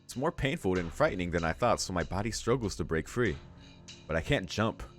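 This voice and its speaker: A man with a low voice hosts a visual novel read-through. He reads the narration aloud and comments casually. Soft game music sits behind him. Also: lively intonation, fairly quick. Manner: casual, swearing asides between read lines. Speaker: let's play host, reader.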